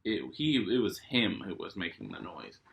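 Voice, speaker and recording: A man's voice: deep voice, sing-song, normal speed, vocal fry, fairly bright tone, a little hiss or hum. A man reading a children's storybook aloud expressively, with simple asides for young listeners.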